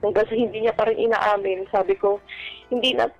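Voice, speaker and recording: A woman caller telling her personal story on a radio advice show in a polite, halting, earnest way. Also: mid-range voice, fairly even intonation. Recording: dull lo-fi phone-in line with music. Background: background music.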